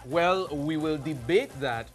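A man's voice: fairly high pitch, lively intonation, slow, faint music behind. A middle-aged man co-hosting a TV cooking show on a studio kitchen set, upbeat and playful as he hypes the food. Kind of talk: TV presenter chat.

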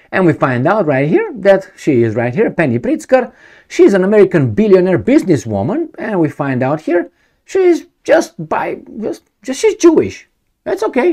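A man's voice: high-pitched, darkish tone. A middle-aged man, from Romania, talking to camera in a home study, emphatic and repetitive, asking rhetorical questions. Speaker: video commentator. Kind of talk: casual to-camera commentary. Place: home study with bookshelves, indoors.

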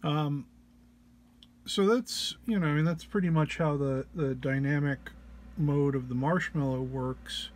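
Slow, halting man giving a tutorial. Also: a little high, over music. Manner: hesitant, halting explanation. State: under the weather. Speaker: presenter of a gear walk-through. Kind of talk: informal tutorial walk-through.

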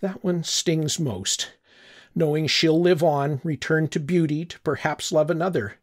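Older man reading in a wistful first-person voice. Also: a little high. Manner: reflective, wistful, unhurried. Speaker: audiobook narrator. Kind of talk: first-person scripted narration.

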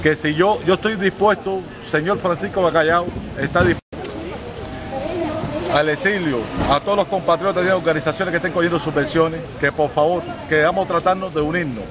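A man's voice: on the high side, fairly even intonation, a little fast. Insistent man pleading in a plaza. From Cuba. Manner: insistent, repeats himself, gestures while talking. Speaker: interviewer and activist. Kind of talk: street interview and appeal. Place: city plaza outdoors. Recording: outdoor street crowd noise.

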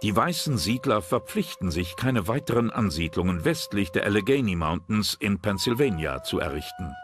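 A man's voice: fairly low pitch, very animated, moderate pace. A man reading scripted narration for a history documentary. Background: background music.

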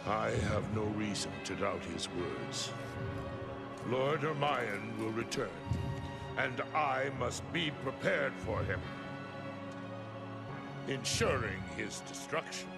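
An actor playing a huge, grave monstrous chairman in a video game, solemn and deliberate. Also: lowish voice, expressive, vocal fry, heavily acted. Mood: grave resolve. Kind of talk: dramatic video game voice acting. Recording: loud music over the voice.

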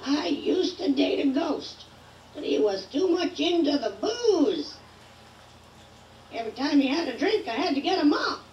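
A high-voiced witch, telling spooky puns with theatrical, wildly swinging delivery. She draws out each joke for a Halloween prop, heard from a distance in a roomy, noisy space. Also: big pitch swings, measured pace, a little mellow, lo-fi sound. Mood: playful. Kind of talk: Halloween prop comedy recording. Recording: roomy playback from afar, background noise.

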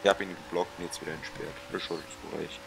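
A man's voice: a bass voice, level tone, quick pace, vocal fry, noisy background. A young man streaming a racing game, joking and swearing casually with a friend. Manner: slangy, joking, swears and laughs. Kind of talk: casual gaming stream chat.

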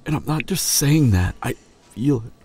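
A man playing a tender, grieving young hunter boyfriend in an intimate, emotional audio drama, halting and husky, often dropping toward a whisper. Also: mid-range voice, animated, darkish tone. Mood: grieving, scared. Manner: halting, emotional, long pauses. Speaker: audio roleplay voice actor.

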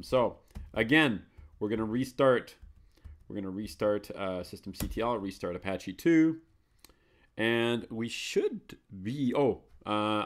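A man hosting a live computer tutorial, chatty and self-correcting, muttering commands as he types. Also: fairly low pitch. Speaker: host of a live Linux tutorial show. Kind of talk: live screencast tutorial, thinking aloud.

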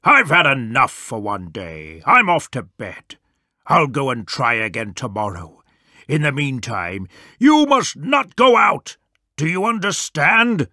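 A man's voice: medium pitch, sing-song, unhurried, bright, forceful tone, no echo, clean studio sound, heavily acted. A man voicing a weary, stern father wolf in a children's story, grumbling and scolding with emphasis.

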